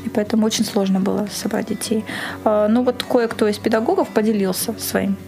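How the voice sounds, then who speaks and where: A woman's voice: medium pitch, steady tone, fairly quick, gravelly, darkish tone, soft background music, low-quality recording. A woman being interviewed as an event organiser, talking at length in a casual way and rephrasing for emphasis.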